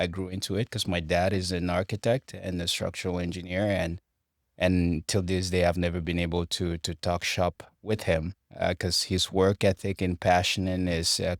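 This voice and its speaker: A man in his forties from Cameroon, a construction director answering interview questions in a measured, reflective way with frequent restarts. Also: low-pitched, no room sound, studio quality. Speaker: construction director, podcast guest. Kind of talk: interview conversation.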